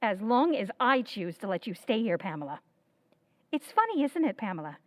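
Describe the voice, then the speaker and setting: A woman's voice: mid-range voice, very animated, moderate pace, no room sound, lightly acted. A woman playing an earnest elder sister in an old-time radio drama, speaking in an indignant, demanding way.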